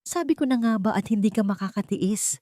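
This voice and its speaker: A woman voicing a scheming wealthy grandmother who taunts in a mocking, drawn-out way, for an audio drama. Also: mid-range voice, expressive, dark tone, no room sound, clean studio sound, voice pushed up, close to an everyday voice. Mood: gloating. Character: scheming wealthy grandmother villain, cold, gloating.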